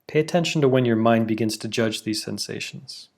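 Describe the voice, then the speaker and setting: A man leading a guided meditation, giving slow, soothing instructions with long pauses. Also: mid-range voice, vocal fry, darkish tone. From Canada.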